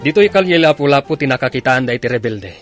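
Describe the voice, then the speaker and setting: A commanding patrol leader with an Ilocano accent, played by an actor, barks orders over music. His medium-pitched voice swings widely in a forceful radio drama style. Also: lively intonation, fast talker, close to an everyday voice.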